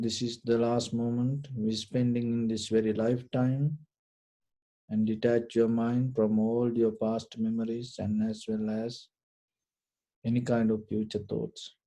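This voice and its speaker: A man leading a guided meditation, giving short, deliberate instructions in a gentle, soothing manner. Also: medium pitch. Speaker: meditation teacher.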